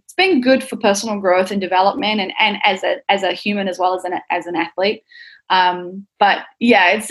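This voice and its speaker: A young woman from New Zealand speaks in a relaxed interview format with her home accent. Her pitch sits in the middle for a woman. She is informal and candid, thinking things through as she talks. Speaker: interviewed athlete and fitness coach. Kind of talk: casual interview talk.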